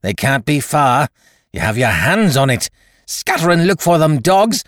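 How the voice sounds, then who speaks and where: A man voicing an enraged blind old pirate leader in an audiobook, barking cruel commands in a gravelly shout. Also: a little high, big pitch swings, no echo, studio quality, voice pushed up. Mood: scornful rage. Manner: shouted commands, drawn-out angry exclamations.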